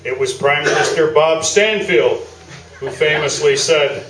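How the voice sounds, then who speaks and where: A man's voice: fairly high pitch, a little slow, background noise, close to an everyday voice. A man playing a pompous, self-satisfied old-time sports columnist in a live stage radio play. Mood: pompous, boastful. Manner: pompous, orating. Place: small theatre, live performance. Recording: roomy stage recording from far off.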